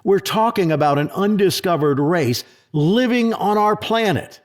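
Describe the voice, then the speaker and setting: A male actor plays a combative government secretary in a science fiction audio drama. He is sarcastic and defensive, with a high-set voice that swings widely as he argues. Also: animated, a little slow. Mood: skeptical. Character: combative, skeptical presidential secretary guarding his turf. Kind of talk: sci-fi audio drama acting.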